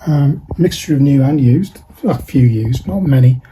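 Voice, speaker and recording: Guy rambling through his orders, very mellow dark voice, a bit of hiss behind. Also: medium pitch, a little slow, rough recording. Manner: rambling, chatty, thinks aloud with many fillers. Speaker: online parts seller. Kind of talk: casual behind-the-scenes vlog chat.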